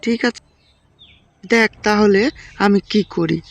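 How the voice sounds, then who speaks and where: A woman's voice: medium pitch, slow. A woman playing a greedy, bullying village woman in a cartoon, with a demanding, insistent way of talking. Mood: demanding, threatening. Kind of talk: cartoon voice acting.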